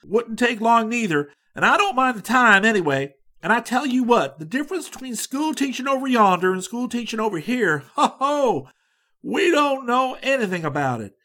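A man's voice: very high-pitched, sing-song, normal speed, pitched above the performer's own voice, heavily acted. Charlie Adams, a man doing a brash young American. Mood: boisterous. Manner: loud, boisterous, slangy drawl. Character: brash hearty young American male student.

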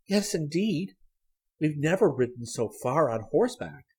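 A man voicing a cautious, polite teenage girl in a storybook, reserved and measured. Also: fairly high pitch, lively intonation, warm tone, husky, voice pushed up, full character voice.

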